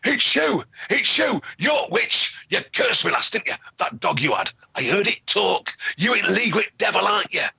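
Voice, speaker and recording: A panicked old peddler man, as a storyteller puts him on, shouting and accusing in a broad dialect, his voice pushed high and bright. The sound is husky and slightly dull. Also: very animated, moderate pace, no room sound, heavily acted. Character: cursed old male peddler, frantic and accusing.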